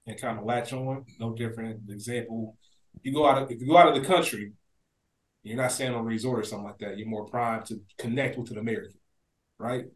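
Echoey, higher-voiced man on a podcast. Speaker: podcast co-host. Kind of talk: casual discussion. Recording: echoey room sound.

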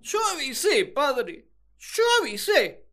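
A woman's voice: sits high, sing-song, slow, sharp tone, exaggerated voice. A woman playing a naive, grumbling first man who loves solitude, with whiny, exaggerated delivery in a radio drama. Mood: exasperated complaining. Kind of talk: radio drama monologue and dialogue.

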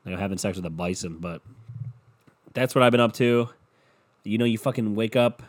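A man's voice: on the low side, brisk. A stuffy-nosed young man with a sore throat, hosting a podcast alone and rambling in a loose, profane, joking way. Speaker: podcast host. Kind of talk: casual solo podcast rant.

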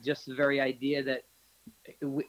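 Older man guest, reflective and halting, mid pitch, casual interview. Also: completely flat delivery, low-quality recording. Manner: reflective, halting with many restarts. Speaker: podcast guest.

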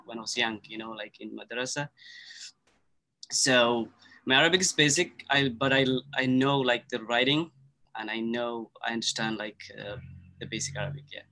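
Earnest Somali-native man, measured podcast guest, middle-range voice. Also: medium pitch, sharp tone, rough recording. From Kenya. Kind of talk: casual conversation.

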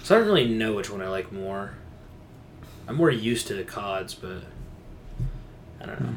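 A man's voice: on the low side, lively intonation, normal speed, a little hiss or hum. A man co-hosting a podcast, chatting casually about games in a rambling, slangy way. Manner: rambling, informal gamer slang. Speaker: podcast co-host. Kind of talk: casual gaming chat.

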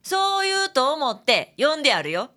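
A woman's voice: high voice, very animated, moderate pace, sharp tone, exaggerated voice. A woman playing a breezy, chatty detective's assistant with a Kansai accent in a radio drama. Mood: breezy, friendly. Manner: casual, familiar.

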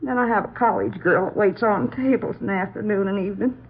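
A woman's voice: medium pitch, fast, husky. Obliging shopkeeper woman on lo-fi radio. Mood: plain, helpful. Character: obliging, plain-spoken shopkeeper woman questioned by police. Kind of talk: 1950s radio drama acting. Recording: narrow lo-fi vintage radio, faint noise.

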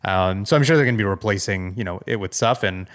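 A man co-hosting a podcast, chatting casually about tech news and thinking aloud in a halting, conversational way. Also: fairly low pitch. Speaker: podcast co-host.

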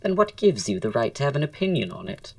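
Casting: female actor as flamboyant Victorian gentleman playwright, witty and teasing, strikingly deep, with lively swooping intonation, for audio drama. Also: quick pace, no echo, far from the mic, exaggerated voice. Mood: indignant, haughty. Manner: theatrical, drawling, arch, florid wording.

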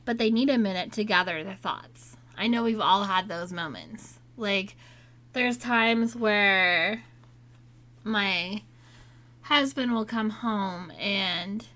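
A woman in her thirties talks at a relaxed, unhurried pace, her voice slightly raspy from a lingering cold. Her pitch sits in an ordinary middle range, and she keeps the talk chatty and candid. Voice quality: raspy at times. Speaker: podcast host. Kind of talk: casual solo talk.

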